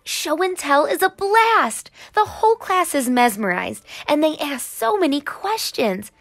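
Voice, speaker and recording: A woman's voice: sits high, sing-song, measured pace, fairly bright tone, voice pushed up, full character voice. A woman voicing an eager, brave young boy superhero in training, earnest and dramatic, in a storybook. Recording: music under the voice.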